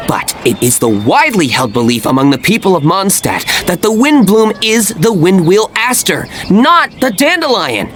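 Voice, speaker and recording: A man's voice: high-pitched, big pitch swings, normal speed, hardly any pauses, background noise. A man playing a stubborn, opinionated young fruit vendor in a video game, talking in a pompous, emphatic way. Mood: indignant. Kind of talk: animated video game acting.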